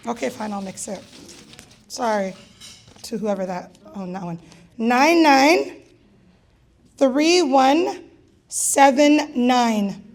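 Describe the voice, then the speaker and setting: Raspy, playful woman hosting a gala. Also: fairly high pitch, expressive, takes it slowly, long pauses. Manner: playful, teasing, jokey asides. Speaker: event host calling raffle draws. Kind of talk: live emcee banter at a gala.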